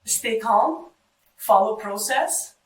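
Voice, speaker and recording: A woman's voice: fairly high pitch, lively intonation, slow pace. Middle-aged woman, roomy distant sound. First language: Greek. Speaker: data center engineer being interviewed. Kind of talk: short interview answers. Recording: roomy, distant mic, background noise.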